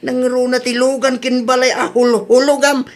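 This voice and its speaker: Grumbling family father played by a man, high voice, Ilocano accent, blunt, complaining radio-drama delivery. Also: flat delivery, normal speed. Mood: irked.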